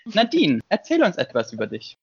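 Man, podcast host, slightly high pitch, casual moderating style, close dry sound, slightly lo-fi. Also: wildly swooping pitch, moderate pace, slightly rough, no room sound.

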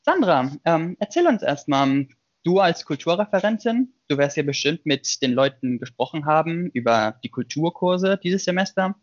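Male podcast host with a higher-pitched voice. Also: expressive, normal speed, no echo, lo-fi sound. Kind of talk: casual student podcast moderation.

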